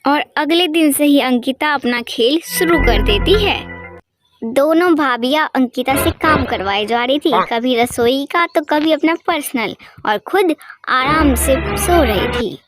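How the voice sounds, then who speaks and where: A woman's voice: sits high, lively intonation, unhurried. A woman storyteller reading scripted narration for a moral tale, with music under her voice.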